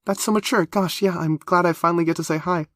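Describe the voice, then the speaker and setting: A woman's voice: medium pitch, animated, brisk, no room sound, studio quality, voice pushed up, close to an everyday voice. A man reading a story, voicing a bubbly, nervous young woman who gushes and trips over her words. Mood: excited, flustered. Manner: gushing, flustered, loud.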